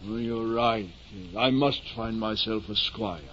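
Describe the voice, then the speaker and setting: An actor playing a delusional, courtly gentleman knight-errant in pompous, florid old-time radio style. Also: on the low side, fairly even intonation, a little slow. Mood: earnest, musing. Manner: pompous, florid, theatrical declamation. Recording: muffled, noisy 1950 radio recording.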